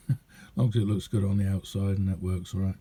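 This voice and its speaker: A man giving a casual, rambling hands-on demonstration as a radio hobbyist, chatty and hesitant in an unhurried way. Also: sits low, steady tone, mellow, breathy edge.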